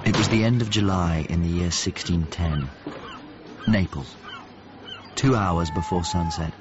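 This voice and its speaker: A low-voiced, earnest painter in a radio play, searching for a missing friend. He speaks in short, terse phrases. The sound is slightly dull, with clear background noise. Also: a little slow. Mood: reflective. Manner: terse, clipped narrative phrases. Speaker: narrator and lead actor. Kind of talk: radio drama acting and first-person narration.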